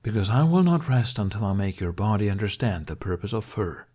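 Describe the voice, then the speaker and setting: A man's voice: fairly low pitch, very animated, a little fast, warm tone, husky. A man voicing a cheerful male dragon companion in an audiobook, teasing and urging in a smug, eager manner. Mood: playful insistence.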